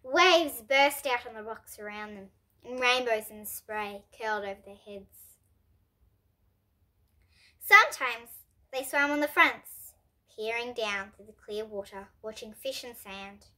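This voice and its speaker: A young girl reading a children's story aloud as host, expressively and deliberately, with dramatic pauses. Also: on the high side, bright, forceful tone.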